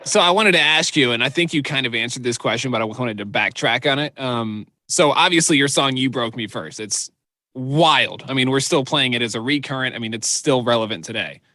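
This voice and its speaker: A man's voice: mid-range voice, brisk, bright, forceful tone, no room sound. A man hosting a radio show, asking easygoing, enthusiastic questions in a casual interview. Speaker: radio host.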